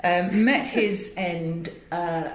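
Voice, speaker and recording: An English woman in middle age speaks in a fairly low voice with a roomy echo around it. She is articulate and talkative, telling stories in a conversational interview style and wandering into asides. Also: very slow. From England. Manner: chatty, digressive, articulate. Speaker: interview guest, voice director and radio producer. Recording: echoey, roomy sound.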